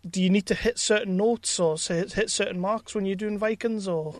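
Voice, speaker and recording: A man's voice: high-pitched, a little fast, no echo, clean studio sound. A man hosting a podcast, putting questions to a guest in a casual, chatty style. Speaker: podcast host interviewing a guest. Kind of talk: casual interview chat.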